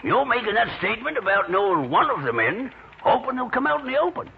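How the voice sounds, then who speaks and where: Chatty small-town American newspaperman, very animated delivery, thin hissy vintage radio drama sound. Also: very high voice, fast talker, vocal fry, heavily acted. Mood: confiding. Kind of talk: 1940s radio drama dialogue. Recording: tinny, hissy old radio recording.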